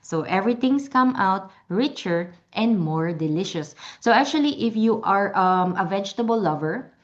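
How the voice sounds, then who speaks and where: A woman's voice: mid-range voice, lively intonation, moderate pace, lo-fi sound. A woman whose first language is Tagalog, chattily demonstrating and selling a product with many restarts and fillers. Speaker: product seller.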